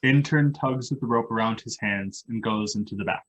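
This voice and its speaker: A man's voice: lowish voice, normal speed, fairly bright tone, a little hiss or hum, low-quality recording. A man narrating stage directions as scripted reading for a play.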